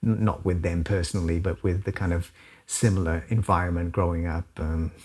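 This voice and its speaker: A man from South Wales, calmly breaking down chords and modes in a music lesson. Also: sits low, fairly quick, breathy edge. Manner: relaxed, thoughtful, casual with fillers. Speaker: music teacher. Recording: song playing loudly behind.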